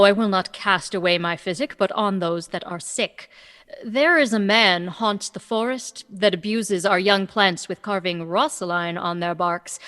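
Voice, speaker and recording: A woman's voice: mid-range voice, a bit bright, long reverb tail. A woman playing a witty, lovestruck young woman disguised as a youth in a Shakespearean radio play, eager and playful. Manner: eager, playful, theatrical verse-like delivery.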